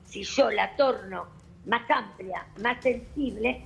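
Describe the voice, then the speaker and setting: Argentine lady doctor, gravelly and a bit high, explains things step by step. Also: a little hiss or hum, poor audio. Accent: Argentine Spanish. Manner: measured, explanatory, addresses host formally. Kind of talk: explaining on a TV news panel.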